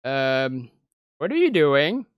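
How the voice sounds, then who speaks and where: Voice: mid-range voice, sing-song, slow pace, clear, resonant tone, dry recording, clean studio sound. A performer of unclear sex voicing an exasperated, sarcastic but fond schoolgirl in an anime visual novel. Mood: flustered, sarcastic.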